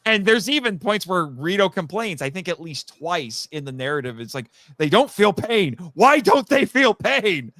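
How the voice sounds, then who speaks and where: A man talking casually as a guest about his writing, telling stories in an animated way and acting out quotes. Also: sits high, moderate pace, few pauses, no room sound, studio quality. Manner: animated storyteller, acts out quotes. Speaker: guest, audio drama writer. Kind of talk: casual chat.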